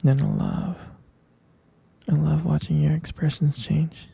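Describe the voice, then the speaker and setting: A man voicing an adoring, tender romantic partner in an intimate ASMR roleplay, murmuring gently and haltingly in a breathy, husky whisper. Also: on the high side, little pitch movement, no room sound, full character voice. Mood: adoring, dreamy. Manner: slow, halting, gentle murmuring with lingering pauses.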